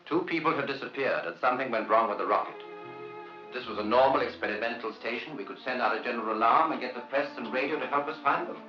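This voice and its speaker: A man's voice: mid-range voice, fast, natural voice. A man acting a stern, secretive chief of a research station in a 1950s film. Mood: grave, commanding. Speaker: film actor. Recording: dull echoey vintage film soundtrack, loud music.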